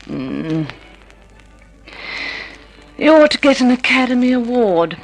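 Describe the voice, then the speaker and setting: An actress playing a seductive, scheming femme fatale, poised and coaxing, in 1950s radio drama style. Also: female voice, a little high, big pitch swings, takes it slowly, gravelly, heavily acted, poor audio. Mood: coy, seductive. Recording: old radio drama recording with music.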